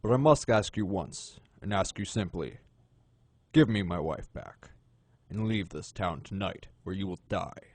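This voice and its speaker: A man playing a suave, menacing gentleman crime boss in an audio drama, unhurried and courtly in manner. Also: low voice, no echo. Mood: coldly menacing.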